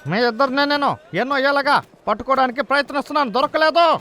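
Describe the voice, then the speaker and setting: A boastful, greedy cartoon hunter, with a high, sharp, bright voice. Soft music sits behind him. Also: male voice, rapid-fire. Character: greedy scheming male hunter, a bear-chasing villain. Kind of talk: Telugu cartoon dub.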